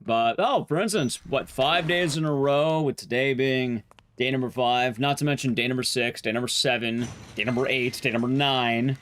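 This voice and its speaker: A young man streaming to his chat, talking casually, rambling and chatty with sudden jumps in pitch. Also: moderate pace, fairly bright tone, slight background noise.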